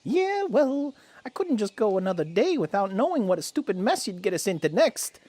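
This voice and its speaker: A woman's voice: mid-range voice, big pitch swings, normal speed, mellow, dry recording. A woman voicing a cheeky male rat robot warrior in a cartoon-based radio drama, teasing in slangy banter. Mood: nostalgic, joking. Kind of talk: animated-series radio drama acting.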